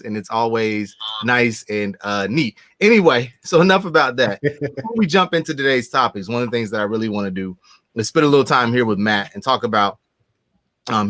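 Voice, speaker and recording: A man's voice: medium pitch, a bit bright, no echo. A man in his thirties hosting a podcast, talking in a loose, joking way with many fillers. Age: 30s. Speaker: podcast host. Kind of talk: casual interview chat.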